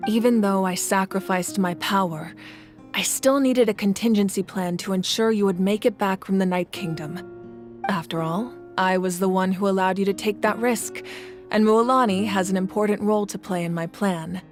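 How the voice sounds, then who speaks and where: A woman acting as a wise female warrior leader in a video game. She speaks at a middle pitch with calm authority, choosing formal words and measured phrasing. Soft music plays behind her. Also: lightly acted. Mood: composed, serious.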